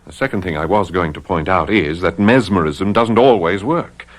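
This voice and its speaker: Radio drama, male: prudent physician and faithful friend, low-pitched, serious, reasoning and cautioning. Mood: firm reasoning. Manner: earnest, measured, admonishing.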